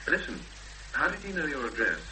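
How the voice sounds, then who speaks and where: A man's voice: fairly high pitch, big pitch swings, fairly quick. A man playing a practical, sceptical young fiancé in a 1970s radio drama, matter-of-fact and clipped in conversation. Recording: faintly hissy 1970s radio broadcast.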